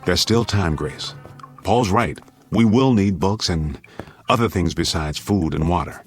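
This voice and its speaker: An American man playing an anxious but steady father in a radio drama, speaking in a firm, earnest, commanding way. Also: low voice, a little slow, faint music behind. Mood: controlled urgency. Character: anxious but steady father preparing family for nuclear attack.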